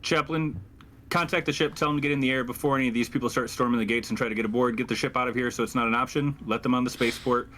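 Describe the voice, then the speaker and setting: A man's voice: mid-range voice, fairly even intonation, racing, a bit bright, slight background noise. A man roleplaying as a captain in a tabletop game, giving orders and asking questions. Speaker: player as a squad captain.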